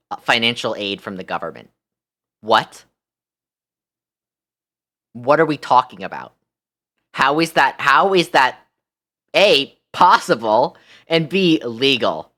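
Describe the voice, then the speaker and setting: A young American man chatting casually as a live streamer, ranting in an animated, exasperated way and swearing freely. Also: medium pitch. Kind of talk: casual livestream chat.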